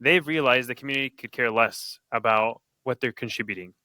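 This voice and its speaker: A man reflects out loud in a casual podcast conversation. His voice is bright and pushes forward. The recording is dry, with no room sound. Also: medium pitch, fairly quick, no room sound. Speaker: podcast co-host. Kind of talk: casual discussion.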